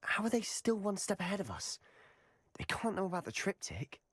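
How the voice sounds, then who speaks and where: A man's voice: high voice, very animated, moderate pace, fairly bright tone, husky, no echo, exaggerated voice. A man playing an impatient, suspicious young wizard student in a fantasy game, blunt and accusing. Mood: worried. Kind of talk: dramatic fantasy video game acting.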